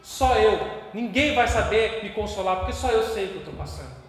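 A Brazilian man preaching a sermon with passion, moving between hushed and loud. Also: high-pitched, lively intonation, brisk. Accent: Brazilian Portuguese. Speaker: pastor. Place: church hall. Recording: echoey church hall with long reverb.

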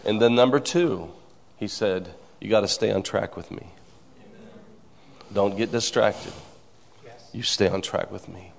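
A man around sixty giving an earnest church testimony, with a gravelly voice and frequent amens. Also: on the low side, unhurried. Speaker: congregant sharing a testimony. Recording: reverberant hall.

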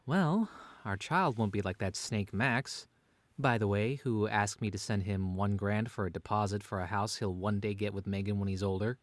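A man's voice: fairly low pitch, moderate pace, dry recording, lightly acted. A man playing a playfully bickering, doting stay-at-home dad in an audio drama, wry but earnest. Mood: indignant. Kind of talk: two-hander audio drama reading.

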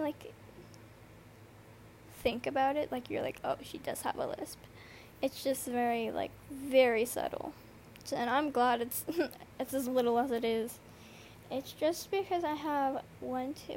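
Teen girl, slight lisp, high voice, rambling and sleepy; earbud mic in a noisy bedroom with a faint hiss. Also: darkish tone. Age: teens. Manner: rambling, swears often, lots of fillers. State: tired from lack of sleep. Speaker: podcast host. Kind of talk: casual solo podcast chat. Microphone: earbud microphone.

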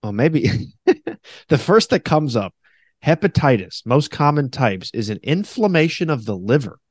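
A man with a deep voice hosting a casual podcast, animated and quick to mock radio announcers in a high voice. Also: breathy edge, no echo, studio quality. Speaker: podcast host; former radio host.

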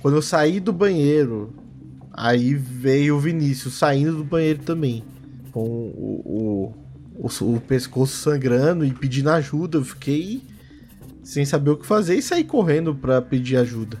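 A man's voice: mid-range voice, animated, unhurried, warm tone, soft background music, lightly acted. A man playing a nervous office worker questioned as a witness, hesitant, stammering and repeating his words in a tabletop game. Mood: shaken, confused.

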